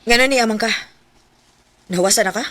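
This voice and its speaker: A woman's voice: fairly high pitch, fairly quick, a bit bright, dry recording, close to an everyday voice. A woman acting a blunt, exasperated young girlfriend in a radio drama, with a Cebuano Filipino accent. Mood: angry. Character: young woman Sarah, blunt, irritated girlfriend confessing the truth.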